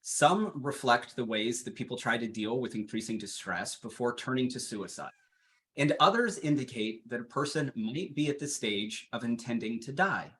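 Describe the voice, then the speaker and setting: A man delivers a training webinar from his slides. His voice sits at an ordinary middle pitch, and he reads at a steady, even pace. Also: normal speed, a little hiss or hum. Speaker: presenter.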